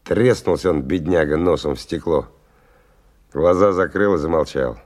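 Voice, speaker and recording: A man's voice: very deep voice, moderate pace, darkish tone. An actor playing a tough, cynical stalker who tells his story in a colloquial, sardonic radio-drama monologue with dramatic swings. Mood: curt, harsh. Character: tough, cynical male stalker narrating his dangerous expedition. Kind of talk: 1990 radio drama first-person monologue. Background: music.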